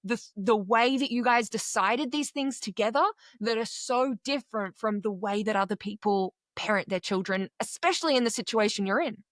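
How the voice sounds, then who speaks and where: A woman's voice: a little high, normal speed, hardly any pauses, dry recording, studio quality. Woman podcast host asking rambling questions. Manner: warm-up questions with many fillers, supportive. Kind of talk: casual interview questions.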